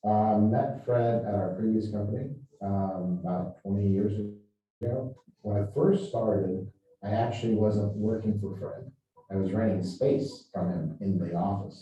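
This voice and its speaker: A man's voice: fairly low pitch, fairly even intonation, normal speed. A man speaking as a company's chief operating officer in an informal promotional interview. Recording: dull, very echoey, distant playback.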